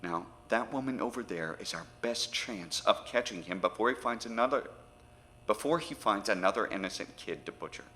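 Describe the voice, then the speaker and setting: A man's voice: lowish voice, expressive, normal speed, pushed and bright. A man playing an urgent, commanding investigator in charge of a case in an audio horror drama, earnest and authoritative.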